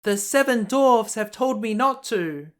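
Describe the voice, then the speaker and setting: A high, light voice for a gentle young fairy-tale princess, played by a man in falsetto. She sounds innocent, polite and hesitant. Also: expressive, unhurried. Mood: timid, apologetic. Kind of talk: storybook character voice.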